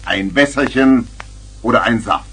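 An actor playing a teasing, theatrical, tippling uncle in a 1970s children's radio play, with a rough, husky voice. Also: male voice, medium pitch, unhurried, a bit bright, faint music behind, lightly acted, poor audio. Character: playful, theatrical tippling uncle, fond of grand words.

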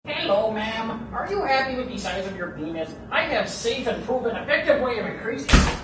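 Monster puppet swindler, woman performing, higher voice, stilted broken English, a little off-mic. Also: lively intonation, fairly quick, over music, natural voice. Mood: salesy. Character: smooth-talking monster puppet email scammer. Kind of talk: comic puppet sketch. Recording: slightly distant, faint noise and ambience.

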